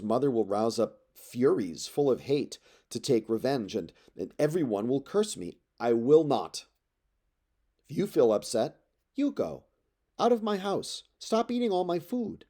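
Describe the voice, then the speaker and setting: A man reading aloud plays an indignant young prince in an epic tale. He is defiant and breathless, flaring into forceful outbursts, with a softly mellow tone. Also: medium pitch. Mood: angry, defiant. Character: indignant young prince defying his mother's arrogant suitors. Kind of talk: dramatic epic poetry read aloud.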